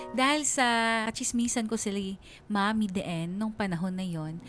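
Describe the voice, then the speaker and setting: A woman voices a young female storyteller in a radio drama. She is chatty and confiding at a middle pitch, poking fun at herself in slangy phrases, with faint music behind. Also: lightly acted. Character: chatty, self-mocking young woman recounting her crush. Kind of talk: dramatized letter narration for radio.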